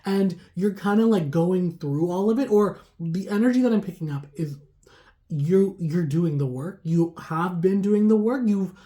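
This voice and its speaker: A young woman doing a casual, chatty tarot reading, hoarse and raspy from a cold, rambling with lots of fillers. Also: on the low side, a little slow, dark tone. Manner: chatty, rambling, heavy use of "like". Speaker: tarot reader.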